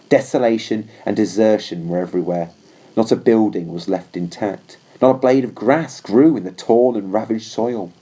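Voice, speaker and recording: Guy reading as a loyal old-time army horse telling its story, mellow voice, measured and earnest. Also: on the low side, close to an everyday voice, low-quality recording. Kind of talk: scripted storybook narration.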